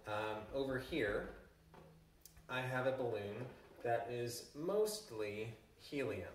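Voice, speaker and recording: A man in his thirties, a chemistry teacher, giving a relaxed classroom demonstration with frequent ums. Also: mid-range voice, takes it slowly, slight background noise. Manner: relaxed explaining with frequent ums, handling balloons. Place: large living room with a stone wall, indoors. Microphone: camera at a distance. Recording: echoey room, voice from far off.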